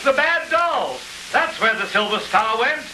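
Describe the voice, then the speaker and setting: An excitable winter sprite in a vintage children's radio serial. The delivery is very high, sharp and swooping, on a hissy, echoey old recording. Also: male voice, a little slow, exaggerated voice. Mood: alarmed, excited.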